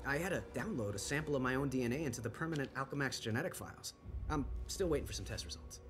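A man's voice: medium pitch, animated, fast talker, soft background music, lightly acted. An actor playing a young adult male scientist hero, easygoing and joking.

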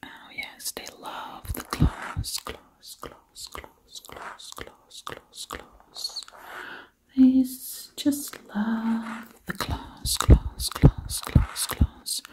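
A woman in her thirties whispers an ASMR story close to the mic, hushed, repeating words rhythmically. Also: a little high, steady tone, very slow. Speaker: ASMR creator. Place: small indoor room at home.

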